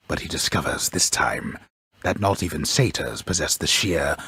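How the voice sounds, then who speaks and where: Game narrator: a grave mythic storyteller, extremely low and very husky, pompous, wry, ornate delivery. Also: male voice, dry recording, studio quality, heavily acted. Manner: solemn, deliberate, ornate, wryly deadpan. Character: grave, pompous old storyteller narrating Greek myth, wryly ominous. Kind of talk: scripted dramatic storybook narration.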